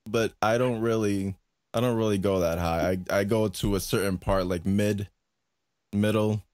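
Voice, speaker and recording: A man with a low voice chatting on a podcast about making music, speaking hesitantly with many restarts. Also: fairly even intonation, normal speed, darkish tone, dry recording, clean studio sound. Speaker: music producer and streamer. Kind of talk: casual chat.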